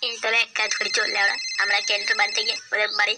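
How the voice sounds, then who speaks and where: A woman's voice: medium pitch, completely flat delivery, rapid-fire, lightly acted. A woman voicing a foul-mouthed young village friend in a crude comedy cartoon, talking in a coarse, exclamatory, slangy way. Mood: crude, concerned. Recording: voice over loud background music. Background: music.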